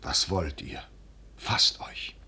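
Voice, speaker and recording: A man's voice: slow, in a whisper, close to an everyday voice. An actor playing a shrewd, commanding older nobleman and general in a classical drama, speaking formally and persuasively. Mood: solemn, persuasive. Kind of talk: classical German stage drama acting.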